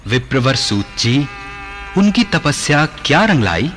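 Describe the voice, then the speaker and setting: An actor playing a respectful, inquisitive sage in a mythological radio play, formal and courteous. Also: male voice, mid-range voice, big pitch swings, a little slow, fairly bright tone, soft background music. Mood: respectful, curious. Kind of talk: Hindi radio play acting.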